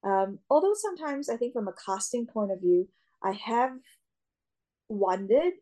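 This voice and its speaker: A young woman speaks in a mellow, relaxed voice as she answers interview questions about her acting work. She pauses often with fillers and restarts her sentences. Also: on the high side. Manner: hesitant, many fillers and restarts. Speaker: actor, interviewee. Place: Australia.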